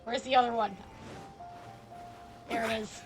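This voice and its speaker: A woman's voice: on the high side, steady tone, a little slow, soft background music. A woman game streamer chatting casually and playfully, tossing teasing asides at the game as she plays. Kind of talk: casual live-stream chat while gaming.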